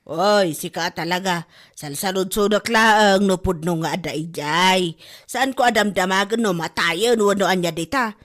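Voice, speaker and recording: A woman's voice: medium pitch, unhurried, fairly bright tone, lightly acted. A woman playing a gossipy, ailing and quarrelsome village wife with an Ilocano accent in a comedy drama podcast. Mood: scolding, nosy.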